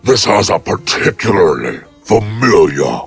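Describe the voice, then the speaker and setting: A very deep, husky demon voice, imperious and stern. It moves slowly and grandly, with music underneath, as in a horror audio drama. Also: male voice, very animated, full character voice. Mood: stern, urgent. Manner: imperious, deliberate. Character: commanding, stern demon companion with grave old-world diction.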